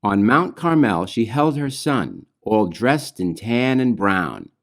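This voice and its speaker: Slow, expressive man reading storybook verse. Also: fairly low pitch. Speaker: author reading own children's book. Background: music.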